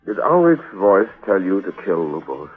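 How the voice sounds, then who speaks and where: An actor playing an earnest, protective fiancé turned avenger in a 1940s radio mystery, intense and melodramatic. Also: male voice, low voice, big pitch swings, normal speed, husky, exaggerated voice. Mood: worried tender. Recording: tinny old radio recording with music.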